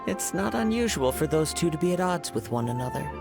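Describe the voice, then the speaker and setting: An actor playing a gentle young wandering samurai in a video game, soft-spoken and wistful, with measured, formal phrasing. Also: male voice, fairly high pitch, big pitch swings, vocal fry. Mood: composed. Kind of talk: English video game voice acting, measured storytelling. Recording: music playing behind the voice. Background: game music.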